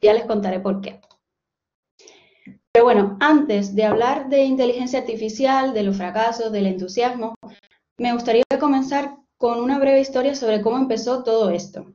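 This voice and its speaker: Cuban lady giving an online lecture from home, highish soft voice, muffled call audio. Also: normal speed. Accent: Cuban Spanish. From Cuba. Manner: friendly, personal storytelling. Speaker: guest lecturer, postdoctoral researcher. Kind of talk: online seminar talk. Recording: dull lo-fi video call audio.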